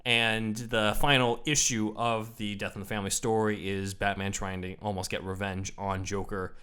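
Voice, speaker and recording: A man co-hosting a casual podcast, explaining things quickly and laughing often. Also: lowish voice, little pitch movement, pushed and bright, clean tone. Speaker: podcast co-host. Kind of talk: casual chat.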